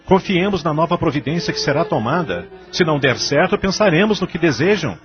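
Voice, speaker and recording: Man playing anxious audio-drama father, fairly high voice, music behind. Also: lively intonation, a little fast, close to an everyday voice. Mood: firm, reassuring. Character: anxious father calming his frightened children. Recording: dull lo-fi audio drama recording.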